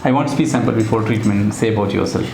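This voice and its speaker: A man's voice: fairly low pitch, quick pace, vocal fry. A man with an Indian accent, speaking as a voice therapist conducting a formal case interview. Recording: echoey room, faint background noise.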